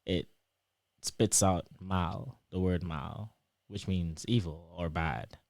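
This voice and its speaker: A young man whose Trinidadian accent drifts toward American, a guest explaining things in a lively, casual way with lots of examples and fillers. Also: low voice, takes it slowly, vocal fry, no echo, studio quality. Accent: Trinidadian shifting toward American. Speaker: interview guest, PhD student.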